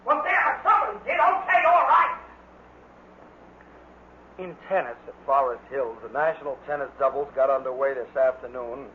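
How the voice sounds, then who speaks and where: A man's voice: mid-range voice, big pitch swings, normal speed, reverberant, close to an everyday voice. Man acting a hot-tempered old-time radio sportscaster. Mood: brisk, formal. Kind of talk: mid-century radio announcing. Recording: dull, noisy old radio recording.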